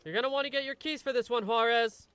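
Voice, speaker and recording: A man's voice: extremely high pitch, fairly even intonation, fast, bright, forceful tone, smooth, no room sound. A man playing a helpful police dispatcher in an audio drama, reassuring and procedural. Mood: brisk, familiar. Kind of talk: naturalistic audio drama acting.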